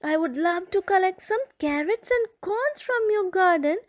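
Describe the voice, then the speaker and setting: A woman's voice: very high voice, expressive, moderate pace, dry recording, clean studio sound, pitched above the performer's own voice. A woman voicing a frisky, cheerful young female fox who talks in a sweet, giggly, eager way in a children's storybook.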